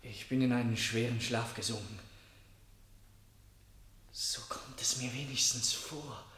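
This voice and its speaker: An actor playing an anguished murder suspect in a radio play, pleading in an earnest, halting, brooding manner. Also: male voice, mid-range voice, fairly bright tone, lightly acted. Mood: subdued, brooding. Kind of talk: German radio play acting. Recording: roomy, echoing studio radio play.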